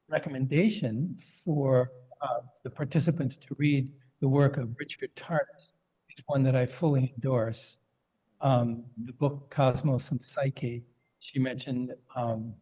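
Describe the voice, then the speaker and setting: An older man offers a long, earnest remark in a conference question session. His voice is mellow and warm, and he pauses often with ums. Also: medium pitch, poor audio. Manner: measured and earnest, with frequent ums. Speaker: conference participant. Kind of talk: comment in a conference Q&A.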